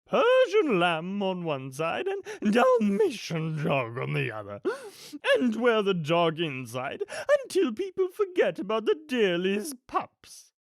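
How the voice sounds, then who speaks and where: A woman's voice: medium pitch, huge pitch swings, takes it slowly, dry recording, clean studio sound, pitched above the performer's own voice, exaggerated voice. A woman voicing an imperious, gleefully murderous rich villainess from a storybook, with a haughty, theatrical, commanding manner. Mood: haughty, scheming.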